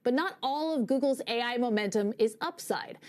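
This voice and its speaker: A woman's voice: a little high, moderate pace. A woman delivering a scripted business news report for broadcast, as a reporter.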